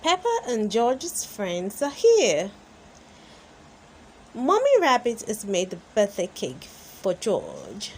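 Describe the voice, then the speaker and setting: A woman reading a storybook aloud for children in an expressive way, as the host. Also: medium pitch, slow pace, slight background noise. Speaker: storybook reader and channel host.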